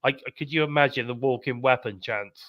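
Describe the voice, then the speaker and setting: A man's voice: medium pitch, moderate pace, no echo, lo-fi sound. A British man with a blocked-up, congested voice, hosting a live wrestling podcast in a chatty, upbeat way. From United Kingdom. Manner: chatty, upbeat, repeats calls to subscribe. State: congested, bunged up. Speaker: podcast host.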